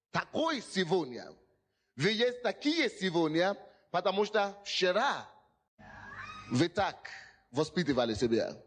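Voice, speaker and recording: A man preaches to a gathered congregation in a high, bright voice that swings widely up and down. His exhortation is repetitive and direct, in slightly broken non-native phrasing, and he keeps asking his listeners whether they hear him. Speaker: pastor. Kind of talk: sermon. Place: in front of a congregation.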